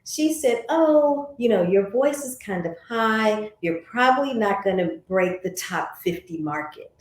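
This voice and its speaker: A woman's voice: medium pitch, animated, measured pace. An American woman being interviewed, telling personal stories in a conversational way and voicing remembered remarks. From St. Louis, United States. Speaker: interview guest, former broadcast journalist. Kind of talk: reflective interview storytelling. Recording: echoey room.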